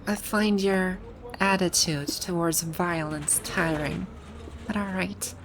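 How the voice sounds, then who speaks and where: Woman acting a haughty, unhurried female villain boss. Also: lowish voice, fairly even intonation, a little hiss or hum. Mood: grudging. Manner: imperious, formal, tsundere grumbling. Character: haughty, grouchy female video game villain boss, secretly soft. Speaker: audio roleplay voice actor. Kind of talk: ASMR roleplay acting.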